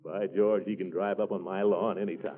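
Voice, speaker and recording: A man's voice: low-pitched, little pitch movement, brisk, natural voice. A man playing a blustering, pompous small-town uncle with an exclamatory manner in a 1940s radio comedy. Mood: gleeful. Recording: muffled narrow-band 1940s radio recording.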